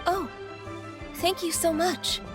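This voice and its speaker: A woman's voice: extremely high pitch, steady tone, slow. A woman playing an earnest, concerned quest giver in an anime game, explaining things carefully. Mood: grateful. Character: earnest, concerned woman posting an adventurers' commission. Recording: clear music behind voice.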